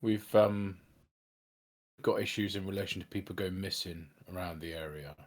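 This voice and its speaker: Deep male voice, measured explainer, improvised tabletop roleplay. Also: fairly even intonation. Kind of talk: improvised in-character discussion.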